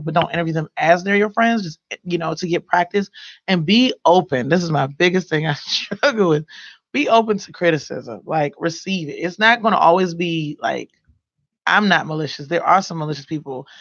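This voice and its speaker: A young woman with a mellow voice and a gravelly, fry-laden edge gives casual advice as a studio guest. She talks loosely, circling with fillers and restarts. The recording is dry and close on a desk mic, a little dull and lo-fi. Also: low-pitched, no echo. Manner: loose, rambling delivery with many fillers. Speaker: guest, radio and podcast producer. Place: small radio studio. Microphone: desk microphone.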